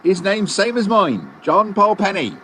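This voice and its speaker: An actor playing a chatty, folksy railway porter with a Cornish accent in an audiobook drama. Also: male voice, sits high, very animated, measured pace, background noise. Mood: frank, folksy. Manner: colloquial, folksy, emphatic.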